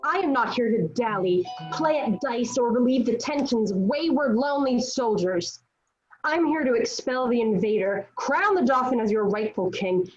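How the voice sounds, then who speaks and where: A woman's voice: on the high side, a little mellow, lightly acted. A woman playing a fierce, commanding teenage warrior saint giving a stern, declamatory speech in a play reading. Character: fierce, commanding nineteen-year-old female warrior saint. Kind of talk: stage play monologue, table read. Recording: dull lo-fi home recording.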